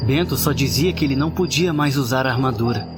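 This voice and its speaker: An actor playing an earnest, naive young paladin apprentice in a fantasy audio drama, respectful and a little hesitant. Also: male voice, mid-range voice, fast talker, over music, natural voice. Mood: curious, hesitant.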